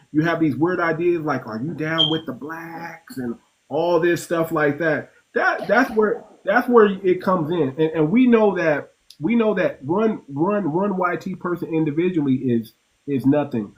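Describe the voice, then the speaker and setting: Male host, slightly raised pitch, emphatic, casual panel talk, faint hiss. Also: moderate pace, rough recording. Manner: emphatic, repeats words while building a point.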